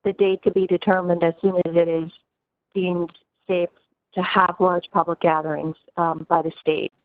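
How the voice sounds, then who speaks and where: A woman's voice: fairly low pitch, flat delivery, moderate pace, no echo. A woman university administrator speaking in an online meeting, reassuring and repetitive with many fillers, her throat scratchy. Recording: dry tinny phone-like audio.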